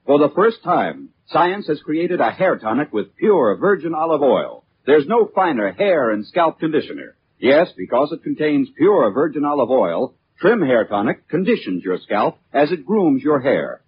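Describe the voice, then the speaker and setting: A man with an American accent reading a scripted sales pitch as a 1940s radio commercial announcer. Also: very animated, normal speed, few pauses. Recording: muffled 1940s radio recording, faint noise.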